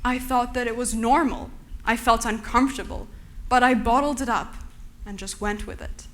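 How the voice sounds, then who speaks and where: A young woman from Turkey giving an earnest, measured prepared talk to an audience. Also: fairly high pitch, fairly bright tone. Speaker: speaker.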